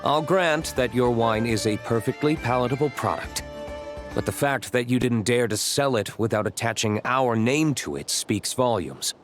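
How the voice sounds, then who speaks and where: A man voicing a proud, courteous winery owner in a video game, speaking in a measured, dry, formal way. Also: mid-range voice, expressive, close to an everyday voice. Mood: cool, dismissive. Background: music.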